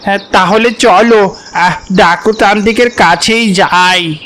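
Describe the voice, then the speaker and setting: A man's voice: high voice, measured pace, fairly bright tone, slight background noise. A man voicing a protective, earnest and polite father duck in a cartoon. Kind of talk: cartoon voice acting.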